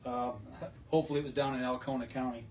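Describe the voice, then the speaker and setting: A man's voice: medium pitch, steady tone, fast talker, darkish tone. A man hosting a casual hunting talk show in a folksy, conversational way. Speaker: podcast host. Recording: distant mic, noticeable background noise.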